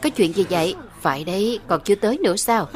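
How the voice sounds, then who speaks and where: A woman reading a scripted voice-over dub for an animated fantasy drama. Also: medium pitch, fast talker, soft background music. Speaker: voice-over narrator dubbing all characters.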